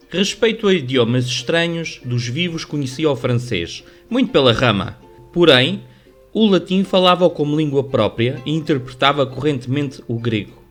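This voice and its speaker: Slightly high-voiced Portuguese male radio narrator. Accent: European Portuguese. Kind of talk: scripted literary narration for radio drama. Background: music under the voice.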